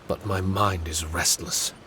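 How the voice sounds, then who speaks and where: A man's voice: deep voice, background noise. A man playing an earnest paper spirit warrior in a video game, formal, courteous and weighed down by duty. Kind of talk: video game character voice acting.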